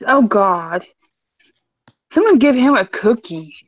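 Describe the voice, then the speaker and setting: A twenty-year-old woman joins casual banter with playful, sassy comebacks. Her voice is fairly high. The sound is dry and muffled, with a lo-fi edge. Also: very animated, slow pace, no echo. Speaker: guest.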